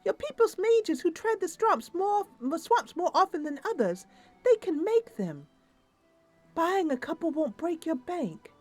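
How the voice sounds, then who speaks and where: A woman's voice: extremely high pitch, a little mellow, pitched above the performer's own voice, full character voice. A woman voicing a petulant, distrustful female nixie, a little fae who complains and haggles in a tabletop-style game roleplay. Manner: sulky, complaining, bargaining.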